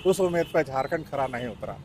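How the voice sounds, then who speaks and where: A man's voice: mid-range voice, animated, a little fast, darkish tone. Guy with giving street opinions, repeats himself for emphasis, bit of traffic noise. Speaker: member of the public being interviewed. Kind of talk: spontaneous opinion in a street interview. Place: outdoors in an urban area.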